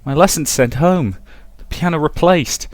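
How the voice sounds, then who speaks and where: A man's voice: fairly high pitch, normal speed, no room sound, pitched above the performer's own voice, close to an everyday voice. A man voicing a frightened, guilt-stricken young woman in a visual novel, pleading and stammering in anguish. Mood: anxious, hurt. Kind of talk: dramatic reading of visual novel dialogue.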